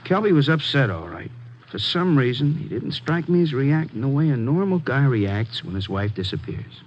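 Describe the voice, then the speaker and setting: A man's voice: mid-range voice, very animated, normal speed, close to an everyday voice. An actor playing a worried, insistent male police detective, colloquial and earnest, in a vintage radio crime drama. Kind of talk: 1940s-50s radio crime drama acting. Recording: muffled, faintly noisy old radio recording.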